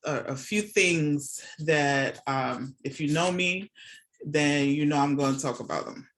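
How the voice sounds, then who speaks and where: A woman's voice: sits low, unhurried. Chatty middle-aged woman, casual interview guest. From born and raised in Jamaica, moved to the United States as a child. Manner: chatty, often checks in with "right?". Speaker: podcast guest, nurse and academic. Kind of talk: casual conversational interview answers. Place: remote video call from home.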